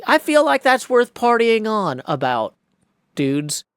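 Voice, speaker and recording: A man's voice: sits high, big pitch swings, slow, fairly bright tone, smooth, dry recording. An American man of forty talking casually and reflectively in a personal vlog, with folksy phrasing and rambling asides. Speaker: video creator and host.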